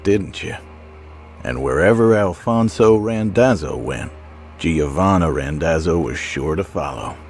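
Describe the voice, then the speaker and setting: An actor playing a cool, menacing hired gun in a noir video game, sardonic and controlled. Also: male voice, low-pitched. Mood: needling.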